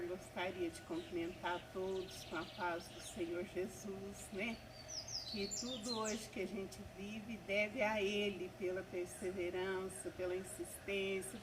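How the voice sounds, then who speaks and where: A woman's voice: medium pitch, fairly even intonation, unhurried, distant mic, background noise. A woman in her seventies giving an earnest church testimony, often adding "né?" and praising God, recorded on a country property. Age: 70s.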